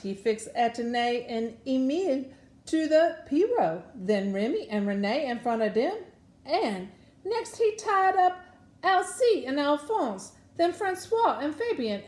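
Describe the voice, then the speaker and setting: A woman's voice: high voice, big pitch swings, slow, darkish tone. A woman reads a children's story aloud in a folksy, dramatic storytelling manner. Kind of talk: animated Cajun folk storytelling. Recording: roomy echo around the voice.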